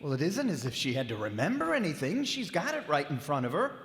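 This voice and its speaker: A man's voice: a little high, huge pitch swings, fast, a bit bright, exaggerated voice. A man playing a fussy, impatient middle-aged father in a live radio play. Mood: exasperated. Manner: grumbling, impatient, fretful. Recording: echoey hall with long reverb.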